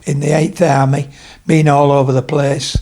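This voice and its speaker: A man's voice: fairly high pitch, flat delivery, moderate pace, a little mellow. A man of about seventy from Leeds, telling rambling stories at home in a casual interview. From Leeds, England. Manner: rambling, digressive, many fillers. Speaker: podcast guest, retired tradesman. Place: his home.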